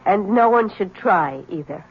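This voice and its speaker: A woman's voice: medium pitch, expressive, a little slow, breathy edge. An actress playing a frightened, secretive young married woman in a 1970s radio drama, pleading and anxious. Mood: warning. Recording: dull lo-fi 1970s radio broadcast.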